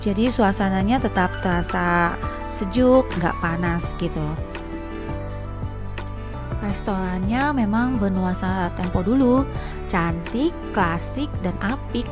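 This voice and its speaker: A woman's voice: mid-range voice, takes it slowly, long pauses, over music. A woman doing a casual, chatty vlog voice-over, addressing viewers as friends. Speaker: vlogger and narrator.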